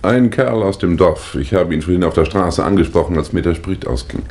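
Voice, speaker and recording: A man's voice: sits low, a little fast, a little mellow. An actor playing an evasive, indignant male teacher under suspicion in a 1960s German radio crime drama, blustering and offhand. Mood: puzzled, offhand.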